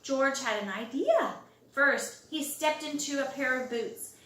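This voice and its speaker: A woman reads a children's storybook aloud in an expressive way, drawing out words for young listeners. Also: high-pitched. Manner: expressive, drawn-out words and loud acted exclamations for young listeners. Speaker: storybook reader. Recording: roomy home recording, distant mic.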